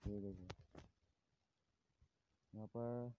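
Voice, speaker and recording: Halting man. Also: fairly low pitch, steady tone. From Assam, India. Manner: halting, searches for words; apologetic about his Hindi. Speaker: vlogger. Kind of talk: casual walking vlog narration. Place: outdoors in fields and forest, walking. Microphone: phone camera held while walking, face not shown. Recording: tinny phone-like sound with faint noise.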